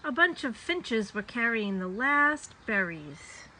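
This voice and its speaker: A woman's voice: on the high side, sing-song, takes it slowly, sharp tone, a little hiss or hum. A woman reading a children's story aloud expressively, drawing out words for young listeners.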